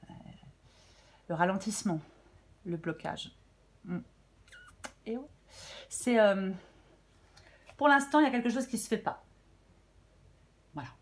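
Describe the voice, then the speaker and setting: A woman with a French accent, slightly hoarse, giving a casual tarot reading to viewers and thinking aloud with many fillers and restarts. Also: mid-range voice, expressive, a little slow, pauses often. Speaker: tarot reader.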